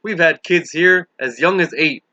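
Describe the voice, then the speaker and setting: A man's voice: fairly high pitch, unhurried, bright, forceful tone, no room sound, dull audio. A man voicing a plainspoken, earnest housekeeper confiding what she knows in an audiobook reading. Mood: earnest, explaining. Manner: explanatory, confiding. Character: Maureen, lowly woman housekeeper confessing secrets, earnest and plainspoken.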